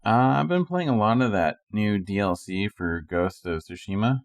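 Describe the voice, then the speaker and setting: A man's voice: fairly low pitch, moderate pace, no room sound, clean studio sound. A man co-hosting a podcast, chatting casually in a laid-back, informal way. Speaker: podcast co-host. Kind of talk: casual chat.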